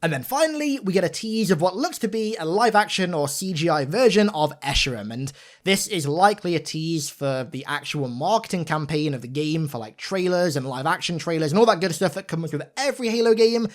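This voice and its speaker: A man's voice: fairly high pitch, lively intonation, a little fast. A young man giving an informal, enthusiastic video game breakdown with an emphatic, gushing manner. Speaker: video game content creator.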